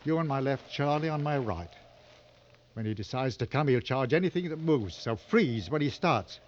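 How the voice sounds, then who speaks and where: An actor playing a seasoned, coolly sardonic hunting guide in a mid-century radio drama, giving clipped, authoritative instructions. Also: male voice, medium pitch, expressive, moderate pace, close to an everyday voice. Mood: calm, instructing. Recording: dull old radio recording, noisy.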